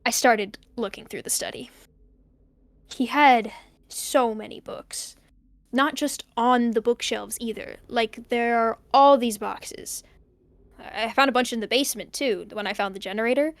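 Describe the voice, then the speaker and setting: High-voiced, hesitant young diarist played by a woman. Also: unhurried, dry recording. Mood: pensive, hesitant. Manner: hesitant, rambling, pensive. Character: uneasy young person narrating an investigation into a tape recorder. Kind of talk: audio drama monologue, found-tape diary.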